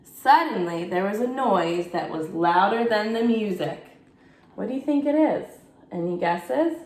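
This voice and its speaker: A woman's voice: medium pitch, a little slow. Woman reading aloud in an echoey room. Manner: expressive, asks young listeners questions. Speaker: teacher. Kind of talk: children's bedtime read-aloud.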